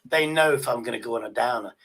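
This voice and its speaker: A man's voice: medium pitch, fast, a bit bright. A man in his sixties chatting casually on a livestream, emphatic and often repeating his phrases. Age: 60s.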